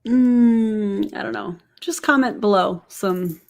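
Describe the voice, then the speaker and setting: A woman's voice: mid-range voice, takes it slowly, gravelly, darkish tone. Woman in her forties, sarcastic, incredulous, reaction commentary host. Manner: sarcastic, incredulous, talks to viewers.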